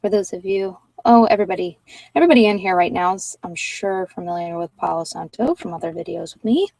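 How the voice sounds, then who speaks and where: Female ASMR meditation host, casual and rambling, soft-spoken with near-whispered moments, dry sound, slightly off mic. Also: on the low side, quick pace, vocal fry, no room sound, recorded from a distance, low-quality recording. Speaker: host of guided meditation sessions.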